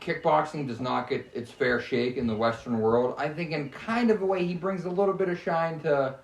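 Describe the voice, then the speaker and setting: A man's voice: fairly high pitch, normal speed. A man co-hosting a podcast, chatting casually about sports. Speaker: podcast co-host. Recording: roomy echo, faint background noise.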